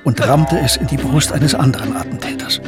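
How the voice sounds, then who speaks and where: An actor as the narrator of a historical crime radio drama, reading scripted literary third-person prose. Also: male voice, fairly high pitch, big pitch swings, brisk, loud music behind the voice. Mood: narrating.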